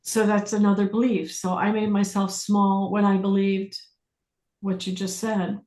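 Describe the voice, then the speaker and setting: Woman, group facilitator, deliberate delivery, reflective guiding questions, mid pitch, roomy echo. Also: little pitch movement, a little mellow. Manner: deliberate, pausing, repeating phrases for emphasis. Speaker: workshop facilitator leading a group class. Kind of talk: guided self-inquiry teaching with questions.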